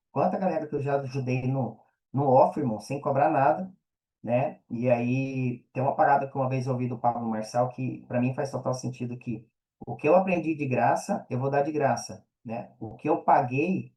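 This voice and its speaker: A man's voice: mid-range voice, mellow, rough recording. A man with a Brazilian Portuguese accent, speaking casually as an interview guest, with slangy phrasing and frequent check-in tags. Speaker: interview guest, affiliate marketer and mentor. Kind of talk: casual conversational interview answers.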